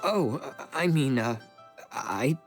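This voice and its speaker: A mild, timid young scholar in a video game. The voice is low and slow, halting as it goes, with lively movement in pitch. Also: female voice, faint music behind, full character voice. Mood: flustered protest. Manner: hesitant, stammering.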